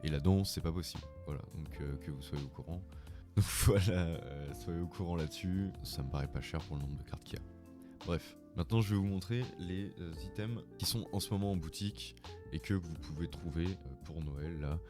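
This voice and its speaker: A man's voice: low-pitched, fairly quick. A man with a cold, talking in an informal, rambling way in a casual video tutorial. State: has a cold. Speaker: vlogger. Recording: music playing behind the voice.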